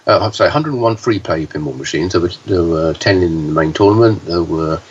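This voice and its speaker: A man with a low, hoarse voice chats on a podcast, halting and restarting as he goes. He sounds set back from the mic, and the sound is dull with a faint hiss. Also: far from the mic. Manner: halting, many fillers and restarts. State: hoarse, deeper voice than usual. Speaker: podcast co-host, pinball news reporter. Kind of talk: casual podcast chat.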